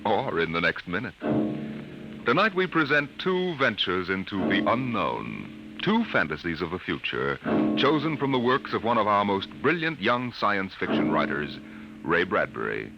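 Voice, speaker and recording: A man narrating a 1950s radio drama in a measured, solemn storytelling manner. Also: lowish voice, huge pitch swings, vocal fry, dull audio. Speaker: narrator and host. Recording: old radio recording, loud music behind.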